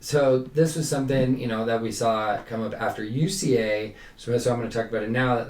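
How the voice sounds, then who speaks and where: A man's voice: medium pitch, a little mellow. A man co-hosting a sports podcast, chatting casually as a coach recapping competitions and giving opinions. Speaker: cheerleading podcast co-host and coach. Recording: roomy, echoey sound.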